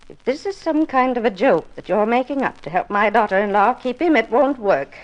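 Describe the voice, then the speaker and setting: An actress playing a bitter, controlling older widow in a 1950s radio drama, haughty and blunt. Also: female voice, fairly high pitch, expressive, fairly quick, highs cut off, natural voice. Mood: bitter, demanding. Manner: haughty, blunt, self-pitying.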